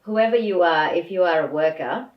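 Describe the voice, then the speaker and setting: A woman guest speaking English as a second language, answering thoughtfully with hesitant pauses and fillers. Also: lowish voice, lively intonation. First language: not English. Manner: thoughtful, hesitant, laughs softly. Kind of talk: reflective interview answers. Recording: echoey room, distant dull mic.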